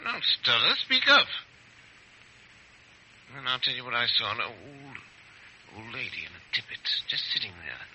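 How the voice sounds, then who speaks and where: An actor playing a drunken young gentleman, slurring and rambling in 1930s radio drama style. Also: mid-range voice, very animated, normal speed, long pauses, a little hiss or hum, poor audio. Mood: secretive, tipsy. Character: drunken upper-class young English gentleman.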